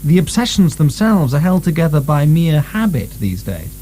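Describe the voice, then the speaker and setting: Mellow, sceptical asylum deputy. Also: male voice, on the high side, big pitch swings, moderate pace. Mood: sober. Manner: polite, reasoned, doubting. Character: sceptical, deferential male asylum deputy, coolly rational. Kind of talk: radio drama acting. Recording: soft recording with faint hum.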